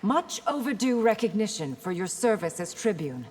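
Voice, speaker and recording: A woman plays a diplomatic warrior princess from a fighting game. The voice is mid-pitched, formal and firm, echoing in a long reverb under loud noise. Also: very animated, natural voice. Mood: composed, firm. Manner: formal, measured, poised. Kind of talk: video game character acting.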